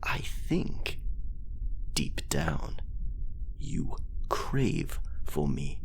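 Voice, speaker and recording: A man playing a possessive, menacing pirate lover in an intimate, breathy, theatrical ASMR roleplay. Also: big pitch swings, heavily acted. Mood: possessive, seductive. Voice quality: breathy, low. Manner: slow, theatrical, alternating whispers with sudden swells.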